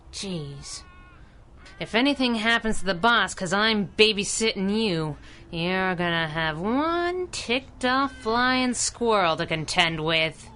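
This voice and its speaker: A woman's voice: a little high, sharp tone, slight background noise, exaggerated voice. A woman playing a plucky, wisecracking young crimefighter sidekick in a 1930s radio drama, slangy and sarcastic.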